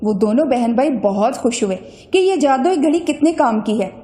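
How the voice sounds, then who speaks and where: A woman tells a children's moral story from a script. Her voice is notably high. The recording carries a clear room echo. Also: animated, normal speed, warm tone. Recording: roomy echo.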